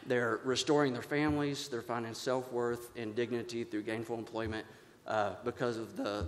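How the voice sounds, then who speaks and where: A man's voice: medium pitch, a little hiss or hum. An American man giving earnest personal testimony as a guest at a public roundtable. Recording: echoey hall.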